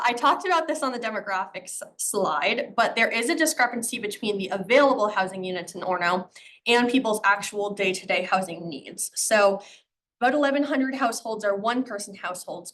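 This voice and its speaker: Slightly hoarse woman presenting town data. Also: medium pitch, a bit bright, a little hiss or hum, rough recording. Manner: explanatory, with frequent ums and uhs. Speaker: town planner presenting a comprehensive plan. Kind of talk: public presentation of slides.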